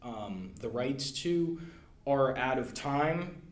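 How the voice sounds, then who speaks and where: A man of middle pitch talks through a casual podcast conversation, often pausing with fillers and restarting his thoughts. The room around him rings with noticeable echo. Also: expressive. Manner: hesitant, many fillers and restarts. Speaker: guest, library staff. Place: room at a library.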